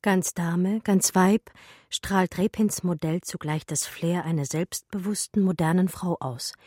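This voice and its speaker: Radio drama lead: romantic female intellectual, lowish voice, breathy edge, measured and intimate storytelling. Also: darkish tone, dry recording, clean studio sound. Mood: dreamy, reflective. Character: romantic, daydreaming female art historian recalling an infatuation. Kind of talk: radio drama first-person narration, literary.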